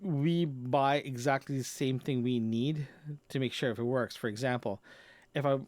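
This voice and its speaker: A man's voice: medium pitch, moderate pace, a little mellow, dry recording. Rambling man on a dry close mic. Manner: rambling, with frequent restarts and fillers. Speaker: podcast host. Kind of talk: casual solo audio log. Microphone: dynamic microphone into portable recorder.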